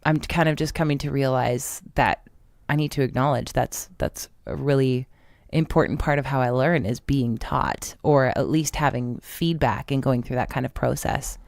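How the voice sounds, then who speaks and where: A woman's voice: extremely low pitch, steady tone, normal speed, creaky, darkish tone, no echo, studio quality. A woman co-hosting a podcast, chatting casually with plenty of "like" fillers; she works as a voice actor. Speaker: podcast co-host. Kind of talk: casual chat.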